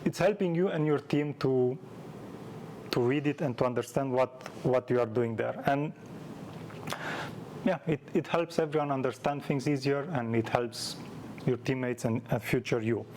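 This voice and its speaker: A man's voice: mid-range voice, normal speed, darkish tone, slight background noise. A man giving an informal technical meetup presentation in a conversational, self-deprecating way, like a developer sharing experience. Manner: conversational, self-deprecating, illustrates points with anecdotes. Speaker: conference speaker, software developer. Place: live meetup talk before an audience.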